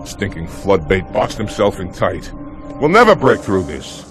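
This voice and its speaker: An actor playing a coarse, growly, frustrated alien warrior in a video game. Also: male voice, deep voice, wildly swooping pitch, unhurried, over music, heavily acted. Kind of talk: video game cutscene acting.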